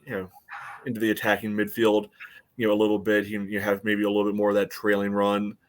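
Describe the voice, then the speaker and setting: Male American podcast co-host, slightly deep voice, conversational and halting, talking through soccer tactics casually. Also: completely flat delivery.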